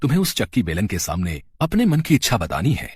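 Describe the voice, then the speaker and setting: Guy doing a kind fatherly magic tree for a kids story, husky and expressive, dry recording. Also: lowish voice, fairly quick, no room sound, full character voice. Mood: kindly. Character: kindly magical talking tree, fatherly and helpful.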